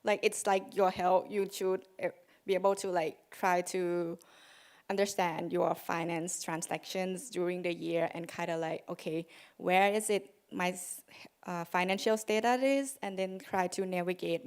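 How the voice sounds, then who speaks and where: A woman ending an informal presentation with halting, hesitant delivery and many fillers. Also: medium pitch, darkish tone, smooth. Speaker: student intern presenter.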